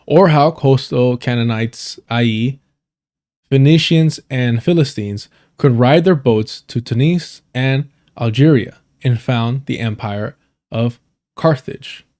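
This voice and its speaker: A man's voice: medium pitch, takes it slowly, darkish tone. A man hosting a livestream, reading an article aloud, often stumbling over words and correcting himself. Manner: stumbling reader who corrects himself and apologizes. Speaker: livestream host.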